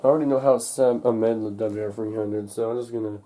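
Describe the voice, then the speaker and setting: A young man recovering from a cold, narrating a casual unboxing in a halting, unscripted way, thinking aloud as he goes. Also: lowish voice, steady tone, warm tone. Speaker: hobbyist vlogger. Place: indoors at home.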